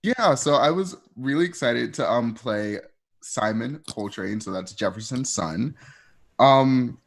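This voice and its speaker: A man's voice: medium pitch, lively intonation, unhurried, vocal fry. A man speaking as an interview guest, casual, earnest and informal. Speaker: voice actor, guest.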